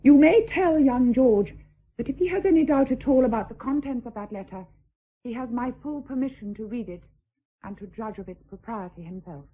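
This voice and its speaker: An actress playing a dignified, steadfast deserted wife of rank in a period radio drama, speaking in a measured, formal, resolute way. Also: female voice, on the high side, slightly rough, phone-quality sound. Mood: quietly resolute.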